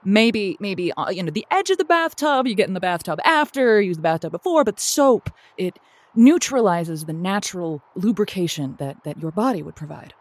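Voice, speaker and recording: A woman playing a supportive, folksy agony-aunt host on a comedy advice show, giving earnest, frank advice in a halting way. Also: mid-range voice, expressive, close to an everyday voice. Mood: encouraging, earnest. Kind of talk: improvised comedy advice chat.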